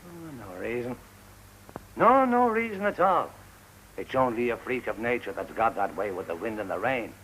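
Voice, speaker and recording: An actor playing a gruff, fussing old sailor minding shipwrecked children, colloquial and scolding yet tender, in a classic film. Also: male voice, fairly low pitch, fairly quick, few pauses, a bit bright, a little hiss or hum, close to an everyday voice, poor audio. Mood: uneasy, reassuring.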